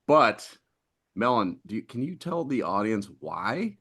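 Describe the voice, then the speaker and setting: A man's voice: lowish voice, sing-song, unhurried, fairly bright tone, breathy edge. A man co-hosting a podcast, teasing his co-host in playful casual chat.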